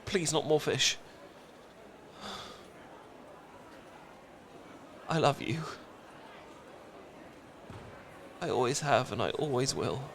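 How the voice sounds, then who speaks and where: A man's voice: medium pitch, vocal fry, fairly bright tone, slight background noise, pitched below the performer's own voice. A man with a British accent playing a flustered, lovestruck best friend in a romantic comedy audio roleplay, stammering and earnest. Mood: tender, relieved. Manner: stammering, rambling, earnest confession.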